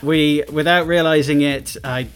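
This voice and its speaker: A man chatting casually as a podcast guest and game developer, talking in a relaxed, rambling way with frequent chuckles. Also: on the high side, unhurried, clear, resonant tone.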